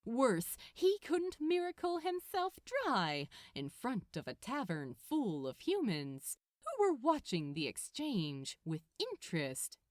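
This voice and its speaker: Highly expressive woman narrator, higher voice. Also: slow, no room sound, studio quality. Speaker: audiobook narrator of fan fiction. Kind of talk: expressive scripted story narration.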